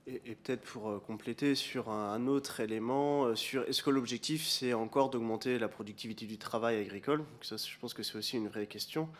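A man's voice: mid-range voice, fairly quick, hardly any pauses. Hesitant man testifying at a hearing. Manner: hesitant, lots of fillers and restarts. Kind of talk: spoken testimony. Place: parliamentary committee room. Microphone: desk gooseneck microphone.

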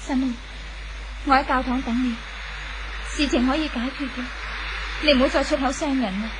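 An actress plays a devoted, conciliatory young sweetheart in an old radio play. She speaks high and earnestly in Hong Kong Cantonese. Clear background noise marks the recording. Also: fairly even intonation, moderate pace, lightly acted. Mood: gentle, conciliatory. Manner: soft-hearted, pleading, earnest. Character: gentle, devoted young woman in love, conciliatory. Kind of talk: 1970s Cantonese radio drama acting.

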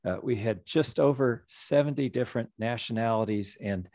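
A middle-aged man, a nonprofit executive director, giving a reflective, measured story-led presentation over a video call. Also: mid-range voice, darkish tone, dry recording, clean studio sound. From United States.

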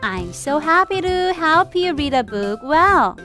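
A young woman from South Korea, a native Korean speaker, teaching English to children as a storybook reading guide in animated, exaggerated teacher talk. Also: high-pitched, drawn-out words, faint music behind. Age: young adult. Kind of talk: children's storybook English lesson, switching between two languages.

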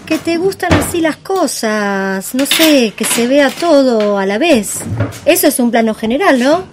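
A woman's voice: high-pitched, lively intonation, measured pace, background noise. An actress playing a naive, stubborn female kitchen helper in a radio play, guileless and insistent. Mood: stubborn, innocent. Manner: guileless, insistent, simple short replies.

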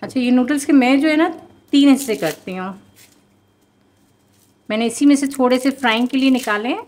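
A woman's voice: high-pitched, a little fast, darkish tone. A woman, hosting a home cooking show and explaining each step in a chatty way with many fillers. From Pakistan.

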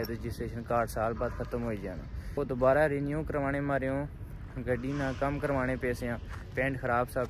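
A young man with a Pakistani Punjabi accent speaks in a relaxed, chatty vlog style, often chuckling between remarks. His voice sits at an ordinary pitch. He is recorded outdoors on a phone held at arm's length, with vehicle noise clearly audible behind him. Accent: Pakistani Punjabi (Pothwari). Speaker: truck driver vlogger. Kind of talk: casual vlog chat to camera. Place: open ground outdoors, evening.

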